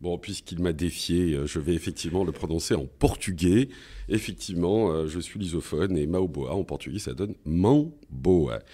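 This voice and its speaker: Low, mellow male podcast host. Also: lively intonation, normal speed. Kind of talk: podcast hosting, semi-formal spoken outro.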